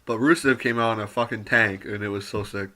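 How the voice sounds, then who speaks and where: A man giving a rambling, casual spoken review full of fillers and mild swearing, his voice turning raspy with occasional coughs. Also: on the low side, fairly even intonation, fairly quick, bright, forceful tone. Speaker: wrestling show reviewer.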